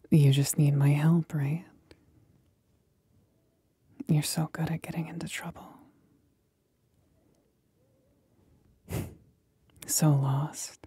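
A woman playing a sly, condescending demon companion in an ASMR roleplay, speaking in a husky, breathy whisper with slow, teasing deliberation. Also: deep voice, level tone, warm tone, dry recording, full character voice. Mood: teasing, patronising.

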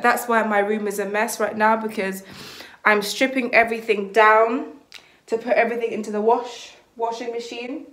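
A woman's voice: on the high side, a bit bright. A young woman vlogging at home, chatting casually to the camera in a rambling way that often hedges and corrects itself. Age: young adult. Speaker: lifestyle vlogger. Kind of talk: casual vlog chat to camera. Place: small rooms at home, bedroom and kitchen. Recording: roomy echo of a small room.

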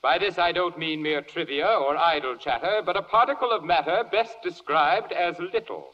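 A man's voice: fairly high pitch, normal speed, natural voice. A man playing an eloquent, florid, insistent prosecutor in a 1940s radio play in rhymed verse, with theatrical oratory. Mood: grandly alarmed. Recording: dull 1940s radio recording with long reverb.

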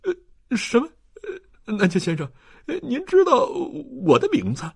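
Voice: mid-range voice, wildly swooping pitch, normal speed, slightly rough, voice pushed up, full character voice. An audiobook narrator voicing a flustered, greedy, fawning male scoundrel who stammers obsequiously. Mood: flustered, denying.

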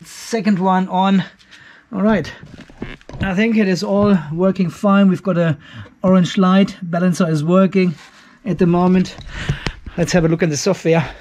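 A man in his fifties with a fairly high voice talks through a DIY job in his garage workshop. He is informal and thinks aloud as he goes. There is some noise in the background. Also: fairly even intonation, a little slow, long pauses, a little mellow. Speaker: DIY video host. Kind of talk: hands-on workshop narration, casual. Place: garage workshop with metal roof.

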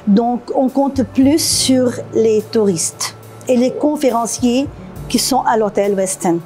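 High, mellow Lebanese-accented woman. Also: slow pace, soft background music. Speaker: guest, café co-owner. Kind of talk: conversational interview answers. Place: indoor café.

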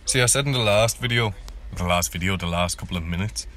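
A man with a deep voice hosts a gameplay video. He talks casually and live, poking fun at his own skills and repeating himself when flustered. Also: fast, a bit bright. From Ireland. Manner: self-deprecating, repeats words when flustered. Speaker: gaming video host.

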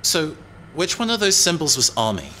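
An actor playing an eager, defensive young tech whiz pilot in an audio drama, cheeky and a little hesitant. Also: male voice, on the high side, very animated, normal speed, background noise. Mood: hesitant, practical.

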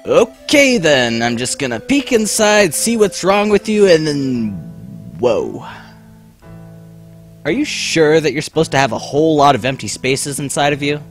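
A man's voice: a little high, big pitch swings, measured pace, faint music behind. A man voicing a glib young adventurer with a sarcastic, theatrical delivery in an abridged cartoon parody. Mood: flippant.

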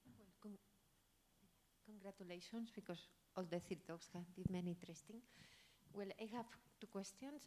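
A woman's voice: medium pitch, moderate pace, dark tone, slight background noise. A woman from the audience asking the panel questions, hesitant and searching for words. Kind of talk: questions from the floor.

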